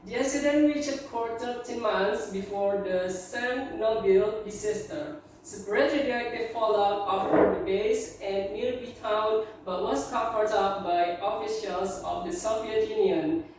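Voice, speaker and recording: A woman's voice: medium pitch, measured pace, a little mellow. Echoey, distant female student reading aloud. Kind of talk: reading a news text aloud in class. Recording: echoey room from afar, faint noise.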